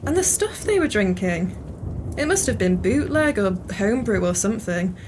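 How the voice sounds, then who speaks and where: A wry, sharp-witted female pub regular played by a woman in an audio play. Her medium-pitched voice is teasing, with faint ambience behind it. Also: very animated, normal speed, noisy background, close to an everyday voice.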